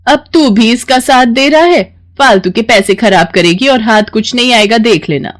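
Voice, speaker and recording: A woman voicing a sharp-tongued older mother-in-law who scolds and taunts. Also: a little high, moderate pace, close to an everyday voice. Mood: scolding. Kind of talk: cartoon voice acting.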